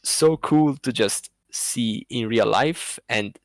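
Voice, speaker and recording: A man as a podcast guest, telling a story in a rambling, animated way with laughs between phrases. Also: mid-range voice, slow, dry recording. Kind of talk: casual podcast chat.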